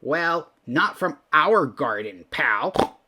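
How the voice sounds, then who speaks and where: Man putting on a cocky young boy beast, husky. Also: a little high, very animated, extremely slow, pushed and bright, pitched above the performer's own voice, exaggerated voice. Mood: cocky, demanding. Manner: cocky, indignant, blunt. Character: bossy, spoiled sixteen-year-old boy beast. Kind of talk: storybook character voice.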